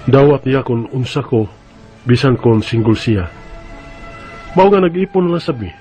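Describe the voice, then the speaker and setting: A medium-pitched male voice with a Bisaya Filipino accent , a man acting. He is a hurt, betrayed father in a radio drama, speaking with earnest emotion over soft music. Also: close to an everyday voice. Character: hurt, betrayed working father, aggrieved and bitter.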